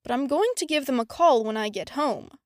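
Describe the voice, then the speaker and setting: A woman's voice: high voice, animated, a little fast, a little mellow, dry recording, studio quality. A woman voicing a concerned, protective, courteous father in a storybook reading. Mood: disapproving, reluctant.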